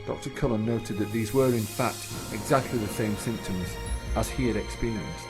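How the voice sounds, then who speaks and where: A man's voice: lowish voice, normal speed. A man narrating a scripted radio drama as storyteller. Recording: distant microphone under clear music.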